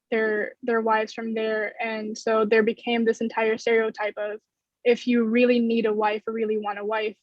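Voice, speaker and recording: A young woman speaking casually as a panelist in a discussion over a video call from a room at home. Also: fairly high pitch. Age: young adult. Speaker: student playwright, panelist. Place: bedroom, indoors. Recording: roomy, dull video-call audio.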